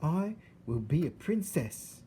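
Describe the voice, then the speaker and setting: Guy doing a dim hard of hearing servant girl, shrill and shouty, storybook voice, bit of hiss. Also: very animated, takes it slowly. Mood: admiring. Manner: shouting, ungrammatical, dim and eager. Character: loud, simple-minded, hard-of-hearing young servant girl.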